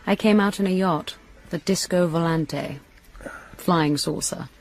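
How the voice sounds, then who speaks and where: Casting: bold young English socialite, low register, brisk and teasing, radio drama. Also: female voice, faint music behind. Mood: brisk, confiding. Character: bold, teasing 29-year-old socialite actress.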